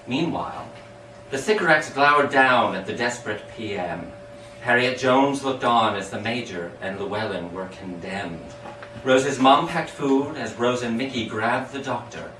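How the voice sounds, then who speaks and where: A man reads storytelling verse aloud in a theatrical, sing-song manner, his pitch moving up and down dramatically. He sounds some way off from the microphone, with the echo of the room around his voice. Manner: expressive, dramatic, sing-song rhyming delivery. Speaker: amateur poet reading their own poem. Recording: echoey room, distant mic, background hiss.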